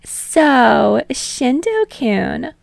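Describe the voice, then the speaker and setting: A woman doing a gushing, high-voiced talk show host. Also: sing-song, creaky, no echo, heavily acted. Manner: gushing, drawn-out, playful. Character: bubbly young female talk show host. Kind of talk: fan fiction audiobook reading.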